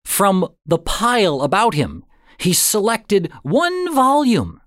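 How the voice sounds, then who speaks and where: A man reads a short story aloud in a dramatic, deliberate style. His pitch moves widely from phrase to phrase, and he takes his time, leaving pauses for effect. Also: no room sound, clean studio sound. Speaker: audiobook narrator. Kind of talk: dramatic scripted story narration.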